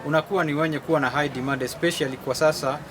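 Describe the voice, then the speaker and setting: A man's voice: on the high side, fairly even intonation, racing. A young man with a Kenyan accent giving an interview statement as a youth group chairman. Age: young adult. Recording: noisy location recording with clicks.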